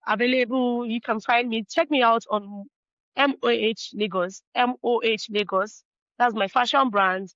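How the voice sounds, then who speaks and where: A woman's voice: mid-range voice, a bit bright, dry recording, lo-fi sound. A woman with a Nigerian accent, a native Yoruba speaker, talking casually as an interview guest. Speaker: interview guest, fashion brand founder.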